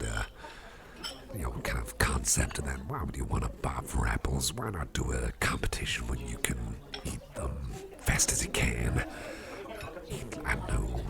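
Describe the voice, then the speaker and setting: A man playing a friendly, rambling boss in an ASMR roleplay, chatting personally to the listener in a deep, gravelly voice. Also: full character voice. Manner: rambling, chummy, halting, repeats phrases. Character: friendly, rambling male boss at a Halloween party. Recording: music playing behind the voice.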